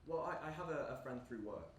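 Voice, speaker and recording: A man's voice: medium pitch, animated, fairly quick, lightly acted. A young man acting on stage as an awkward, eager, lonely gamer, rambling and apologising nervously. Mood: awkward, friendly. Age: young adult. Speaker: actor in a stage play. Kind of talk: live stage acting, naturalistic dialogue. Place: indoor stage performance space. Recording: echoey room from far off, background noise.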